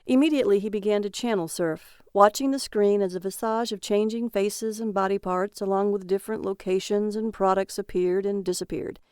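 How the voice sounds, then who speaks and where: A woman reading a dark comic short story in an even, measured way. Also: mid-range voice, smooth, dry recording, clean studio sound. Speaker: story reader. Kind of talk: scripted narration of a dark comic tale.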